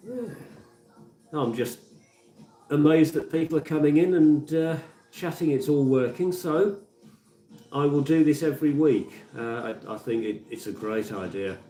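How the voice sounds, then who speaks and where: Brummie bloke in his 50s doing radio links, mellow voice, sits back from the mic, music going behind. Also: a little high, a little slow. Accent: Birmingham (Brummie). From Birmingham, England. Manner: chatty, rambling, with many fillers and restarts. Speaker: radio presenter. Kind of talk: casual live radio chat with song links. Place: community radio studio. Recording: echoey room, mic at a distance. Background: music playing.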